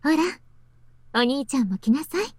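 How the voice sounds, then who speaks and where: Very high, expressive anime girl. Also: fast talker, no room sound. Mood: tender, tearful. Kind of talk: Japanese anime game voice acting. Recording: dry studio voice over soft music.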